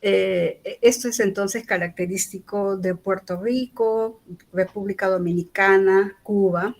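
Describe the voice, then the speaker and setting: A lecturer from Peru speaks with a Lima accent. She explains each point patiently, often pausing on fillers and tag questions to check that her listeners follow. Her voice sits at an ordinary middle pitch for a woman. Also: fairly even intonation. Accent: Lima Spanish. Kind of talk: university lecture.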